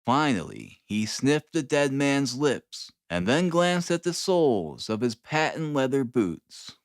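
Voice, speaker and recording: Expressive male audiobook narrator, very dry sound. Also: mid-range voice, no room sound. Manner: measured, deliberate. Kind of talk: dramatic scripted literary narration.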